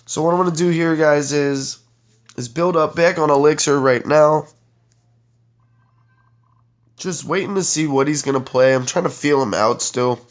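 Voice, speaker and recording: A man's voice: fairly high pitch, moderate pace. A congested young man giving chatty play-by-play commentary on his own mobile game match, talking straight to his viewers. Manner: chatty, keeps addressing viewers as guys. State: congested, stuffed-up nose. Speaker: gaming channel host.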